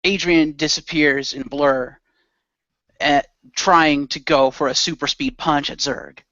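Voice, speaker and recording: Man, fairly high voice, casual halting action narration, improvised, dry muffled recording. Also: steady tone, sharp tone, no room sound. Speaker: radio play performer.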